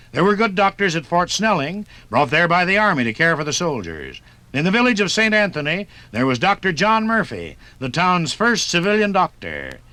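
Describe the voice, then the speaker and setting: A man's voice: high voice, bright, forceful tone, dry recording. An American man narrating a 1950s radio documentary as a folksy, dramatic storyteller. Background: music.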